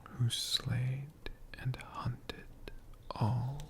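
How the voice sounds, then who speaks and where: A man telling a story in a hushed ASMR whisper, in short halting phrases with long pauses between them. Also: medium pitch, flat delivery, gravelly. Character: friendly storytelling dragon.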